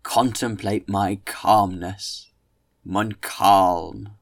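A man voicing rowdy young diners who heckle a friend with loud, drawn-out calls in an audiobook. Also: lowish voice, fairly even intonation, vocal fry, no room sound, full character voice. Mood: mocking.

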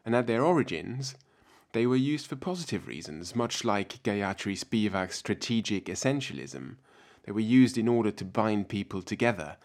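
A man from France, raised speaking French and German, with a French-German accent, delivering a measured, reflective scripted talk as a researcher. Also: on the low side. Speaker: PhD researcher giving a talk. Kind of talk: scripted spoken essay.

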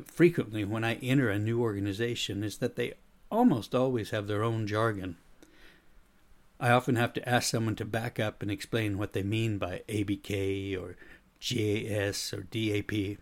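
A middle-aged man with an American accent slightly tinged with Swedish, delivering a prepared explanatory monologue in a measured, didactic manner. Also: lowish voice, steady tone. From United States. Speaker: podcast host, speaker and consultant.

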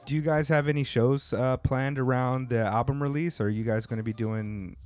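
A man's voice: medium pitch, fairly even intonation, moderate pace, a little mellow, soft background music. A man hosting a podcast, chatting casually with slang and frequent laughs. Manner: laid-back, slangy, laughs often. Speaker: podcast host. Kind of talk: casual interview chat.

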